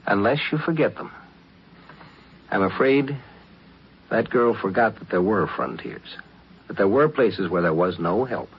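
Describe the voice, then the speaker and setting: A man hosting and narrating a scripted 1950s radio drama in a measured, philosophical storytelling manner. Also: fairly low pitch, lively intonation, breathy edge. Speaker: host and narrator. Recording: dull, lo-fi 1950s radio recording.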